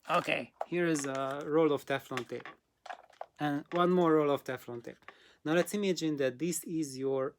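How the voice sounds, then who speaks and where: A host casually explaining a technical subject, halting and pausing often, putting things in simple hands-on terms. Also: mid-range voice. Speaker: host answering audio questions.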